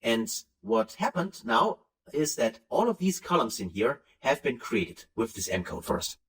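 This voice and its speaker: German guy explaining software stuff step by step, pitch going up and down a lot, mic a bit far off. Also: sing-song, unhurried. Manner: explanatory, walks through steps with fillers and self-corrections. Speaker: software instructor. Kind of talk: screen-recorded software tutorial.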